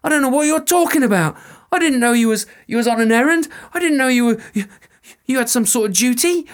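A man's voice: very high voice, expressive, slow pace, pitched above the performer's own voice, full character voice. An actor playing a frightened soldier who stammers and pleads, tripping over his words. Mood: panicky, pleading. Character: cruel soldier turned panicky, stammering.

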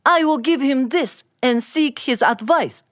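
A woman's voice: sits high, unhurried, dry recording, studio quality, pitched above the performer's own voice. A woman voicing an earnest, emotional village wife and mother in a live folktale. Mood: earnest, pleading.

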